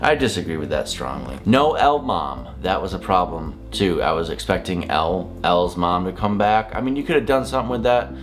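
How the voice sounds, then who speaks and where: Deep-ish guy doing a rambly blunt review vlog, kinda fed up, soft guitar music behind. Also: lively intonation, normal speed, hardly any pauses. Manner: blunt, exasperated, rambling delivery. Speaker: reviewer, vlogger. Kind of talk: casual opinionated review to camera. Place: small home office, indoors.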